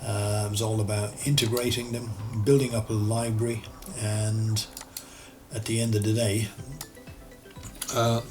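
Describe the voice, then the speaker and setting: A man with an Irish accent teaching architectural CAD over a screen recording, relaxed and thinking aloud as he works, often correcting himself. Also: lowish voice, measured pace, faint music behind. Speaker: architectural designer and CAD tutor. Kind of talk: screen-recorded software tutorial.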